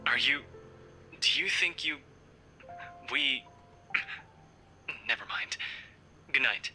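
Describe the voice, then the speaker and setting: A woman's voice: rumbling low, extremely slow, bright, forceful tone, pitched below the performer's own voice, exaggerated voice, low-quality recording. A woman voicing a smug, teasing young man from a visual novel. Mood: hesitant. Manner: teasing, smirking. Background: background music.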